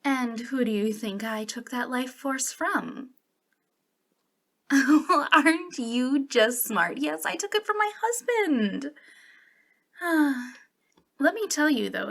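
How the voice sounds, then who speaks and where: A woman's voice: a little high, creaky, fairly bright tone, exaggerated voice. A woman playing a centuries-old, youthful-looking witch in an ASMR roleplay, confiding coyly and hesitantly with a giggly, sinister playfulness. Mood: sly, enticing. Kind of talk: ASMR roleplay monologue addressed to the listener.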